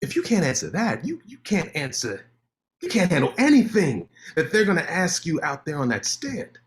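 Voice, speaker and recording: Man voicing a cutting sarcastic lawyer, high lively voice, stage-play reading. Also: normal speed.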